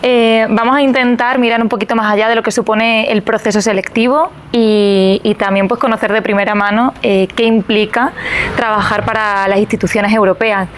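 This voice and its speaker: A woman hosting a podcast interview, asking questions in a measured way with soft acknowledgements. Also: fairly high pitch, fairly even intonation, slight background noise.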